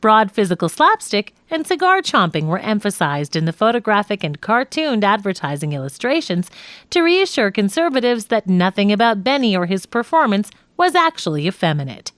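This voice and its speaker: A woman narrating a nonfiction audiobook in a measured, expressive reading voice. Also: mid-range voice, no echo.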